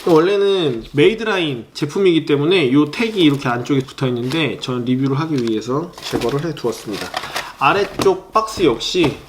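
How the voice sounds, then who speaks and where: Man, young adult, sneaker reviewer, measured and explanatory, hedges opinions, slightly high pitch. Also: noisy background. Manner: calm, explanatory, hedging opinions; handling items while describing them. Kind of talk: product review vlog.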